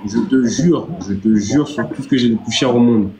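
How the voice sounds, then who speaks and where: A man's voice: mid-range voice, normal speed, crowd noise. Theatrical man hosting a live stream. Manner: theatrical mock outrage, stammering repeats. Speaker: livestream host. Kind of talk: casual livestream chat. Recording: roomy, dull lo-fi sound. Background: music.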